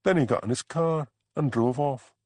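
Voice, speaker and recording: A man voicing a gossipy Scottish village man who tells tales in an animated way, in an audiobook. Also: mid-range voice, normal speed, dry recording, pitched above the performer's own voice, lightly acted. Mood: gleeful gossip. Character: Archie, gossipy village man, fond of a dram. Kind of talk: audiobook character dialogue.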